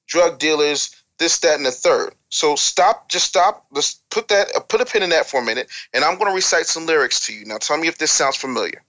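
A man's voice: fairly high pitch, pushed and bright. An American man talking as an opinionated guest on a casual talk show, emphatic and repeating phrases for effect like a storyteller. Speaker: interview guest.

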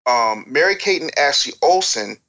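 A man's voice: medium pitch, animated, moderate pace, sharp tone. A man giving candid advice and telling anecdotes as a guest expert, speaking bluntly and emphatically with casual swearing. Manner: blunt, emphatic, profane, lecturing. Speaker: guest expert on music business and promotion.

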